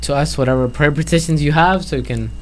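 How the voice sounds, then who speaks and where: A man interpreting a sermon live, echoing each short phrase quickly and sometimes restarting mid-word. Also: on the high side, a little hiss or hum.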